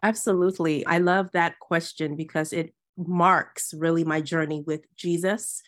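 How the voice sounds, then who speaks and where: A woman from Ghana, a Bible teacher, telling her story in an earnest, measured way in an interview. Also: fairly low pitch, steady tone, no room sound, studio quality. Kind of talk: interview, personal testimony.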